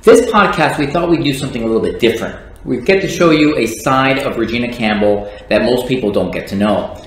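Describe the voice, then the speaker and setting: A man's voice: mid-range voice, expressive, brisk, slight background noise. Wry man interviewer in an echoey room. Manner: wry, teasing asides. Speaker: litigation manager, interviewer. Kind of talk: scripted questions, joking chat. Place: office lounge with large windows. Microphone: tabletop condenser mic.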